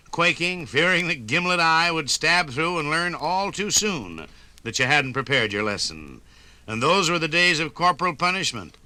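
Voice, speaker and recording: A man's voice: a little high, bright, forceful tone, dry recording. An American man narrating nostalgically in 1950s radio style, with dramatic, evocative storytelling.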